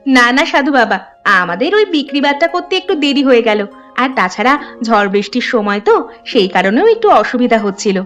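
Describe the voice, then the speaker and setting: A woman's voice: fairly high pitch, lively intonation, a little fast, soft background music, lightly acted. A woman voicing a trusting, kindly and polite young village girl in a cartoon story. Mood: polite, excited.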